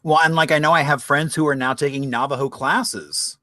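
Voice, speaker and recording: A man's voice: on the high side, steady tone, brisk, pushed and bright, dry recording, clean studio sound. A man hosting a casual virtual chat, easygoing and affirming, on a video call. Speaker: host.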